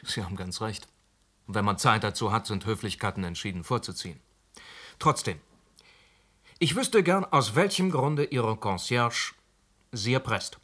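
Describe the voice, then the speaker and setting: An actor playing a sharp, sarcastic police inspector who presses hard in a radio drama. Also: male voice, on the low side, moderate pace, pauses often. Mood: pressing. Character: aggressive, blunt male police inspector. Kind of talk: German radio drama acting.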